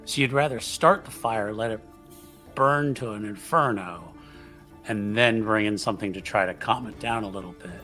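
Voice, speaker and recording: A man's voice: lowish voice, normal speed, pushed and bright, soft background music. A man playing in a tabletop roleplaying game, joking dryly and restarting his words often. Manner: dry joking, stammering restarts. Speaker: player.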